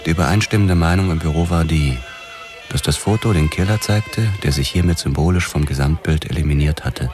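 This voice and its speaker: An actor playing a brooding, obsessed police detective, narrating his own noir story in a measured, sombre manner. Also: a bass voice, over music. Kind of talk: scripted noir first-person narration.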